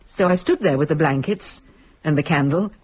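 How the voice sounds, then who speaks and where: An actress playing a sharp-tongued married woman, frightened and defensively rationalising, with a husky voice in a dramatic audio-play delivery. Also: deep voice, normal speed. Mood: haunted, fearful. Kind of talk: stage-style audio drama acting.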